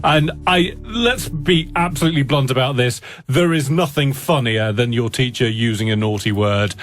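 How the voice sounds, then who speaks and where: A man's voice: medium pitch, lively intonation, normal speed, soft background music. A man co-hosting a radio show, chatting and reading listener messages with dry humour. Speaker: radio co-host. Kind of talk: casual on-air banter. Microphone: studio microphone.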